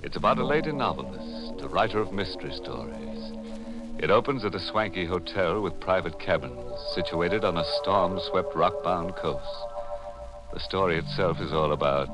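A man's voice: deep voice, animated, moderate pace, rough recording. A man hosting a 1940s radio mystery show, introducing it with a wry, teasing manner. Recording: old 1940s radio broadcast, loud music.

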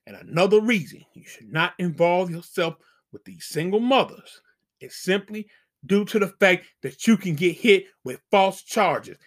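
A man's voice: high voice, pushed and bright. A middle-aged man hosting a channel, giving casual dating advice as an emphatic, preachy warning full of slang.

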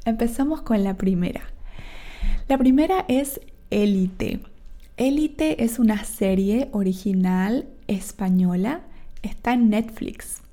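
A woman's voice: medium pitch, dark tone. A woman from Argentina with an Argentine accent, hosting a Spanish lesson podcast for learners and checking in often with her listeners. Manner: slow and careful, checks in with "¿sí?". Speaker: Spanish teacher and podcast host.

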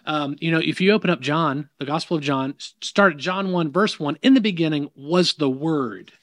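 Middle-aged Southern man, minister and guest; emphatic preacher's delivery, fairly high voice, dry sound. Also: moderate pace, a bit bright, no room sound. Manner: emphatic, preacherly, frequent restarts. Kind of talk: casual interview conversation. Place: in person, New York.

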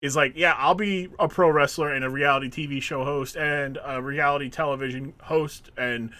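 A man co-hosting a casual podcast chat, animated, acting out quotes and laughing often. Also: a little high, normal speed. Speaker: podcast co-host.

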